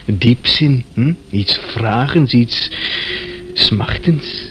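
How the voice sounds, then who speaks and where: A man's voice: mid-range voice, extremely slow. An actor playing an eccentric, easygoing young man in a radio play, musing and amused, with some bashful hesitation. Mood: smitten, playful. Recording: noisy old radio drama recording.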